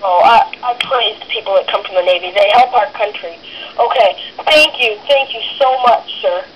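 A polite, formal man talks on the phone in a high voice. He keeps asking careful questions and stays suspicious. His voice comes through a phone speaker with faint background noise. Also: moderate pace, a bit bright, muffled recording. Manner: polite and formal, calls the caller sir. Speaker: prank call target. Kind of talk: phone conversation. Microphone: heard through a phone speaker.

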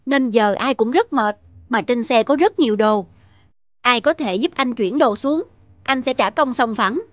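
A woman's voice: a little high, expressive, unhurried, dry recording, dull audio. A woman voicing a friendly, responsible young male charity leader in a cartoon, with an instructive manner. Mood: instructing. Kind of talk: cartoon dubbing.

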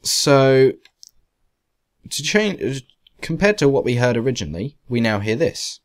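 A man narrating a software tutorial casually, in a rambling, hesitant, self-deprecating way. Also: medium pitch, sing-song, a little slow. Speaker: tutorial presenter. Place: indoors, screen-recording voice-over.

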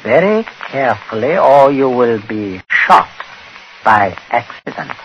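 Menacing blackmailer patriarch, precise, commanding, lively intonation, vintage radio drama, slightly noisy recording. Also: male voice, mid-range voice, measured pace, lo-fi sound. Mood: falsely genial menace. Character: coldly menacing patriarch calmly blackmailing a man at gunpoint. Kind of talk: 1940s radio acting.

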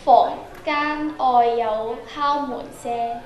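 Very high-voiced Hong Kong woman, echoey. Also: fairly even intonation, extremely slow, dull audio. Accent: Hong Kong Cantonese. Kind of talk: improvised group storytelling. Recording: echoey auditorium, chattering crowd.

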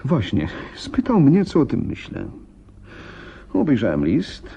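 Casting: a helpless, fearful young gentleman in a radio drama; soft-edged tone, lively pitch swings, halting dramatic delivery. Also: breathy edge, muffled recording, full character voice. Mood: anxious, narrating. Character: frightened, helpless young gentleman recounting family deaths.

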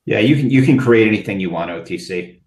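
A man's voice: on the low side, lively intonation, fast, a little mellow, roomy sound. A man speaking as a panelist on a webinar over a video call, conversational, with frequent restarts. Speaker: panelist, market specialist.

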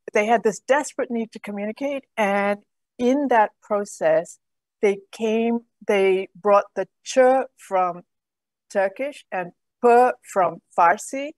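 A middle-aged woman, a guest on a video call, explaining in a measured way. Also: mid-range voice, no echo. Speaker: guest, program director.

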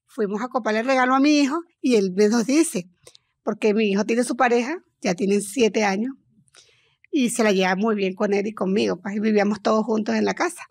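Middle-aged Venezuelan woman, gravelly. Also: on the high side, no echo. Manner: hesitant, halting, with many restarts. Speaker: guest. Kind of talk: personal storytelling in an interview.

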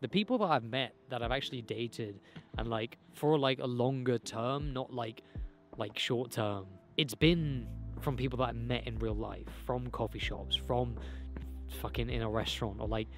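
A man's voice: mid-range voice, moderate pace, soft background music. A young man from England, talking candidly and rambling to a handheld camera outdoors in a field. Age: young adult. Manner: candid, rambling, swears and laughs often. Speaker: self-improvement vlogger. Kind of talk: casual talk to camera. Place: outdoors in a green field by a hedge. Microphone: handheld camera mic, close.

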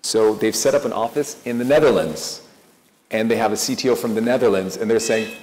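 A Ghanaian man with an American, Californian-sounding accent, speaking quickly as a fund manager on a conference panel. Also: fairly low pitch, very noisy. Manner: fast, enthusiastic, many restarts. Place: large conference hall stage. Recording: echoey hall with faint ambience.

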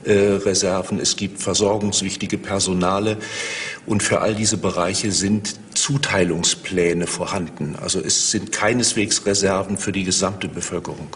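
Low-voiced male expert being interviewed. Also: steady tone, moderate pace, a bit bright, slight background noise. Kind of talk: spontaneous explanatory interview.

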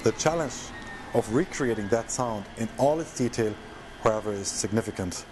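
A man's voice: on the low side, moderate pace, background noise. A middle-aged man giving scripted voice-over narration about his own restoration project.

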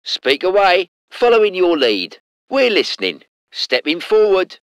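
A man's voice: high-pitched, huge pitch swings, unhurried, smooth, no room sound, exaggerated voice. An actor with a British accent voicing a cheeky, salty tank crewman in a war game, in slangy, clipped phrases. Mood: eager, cheeky. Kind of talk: short scripted game unit responses.

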